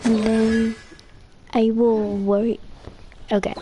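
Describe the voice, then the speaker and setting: A woman's voice: on the high side, level tone, slow, music in the background. A woman chatting casually while she plays a video game, as a content creator. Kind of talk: casual gameplay chat.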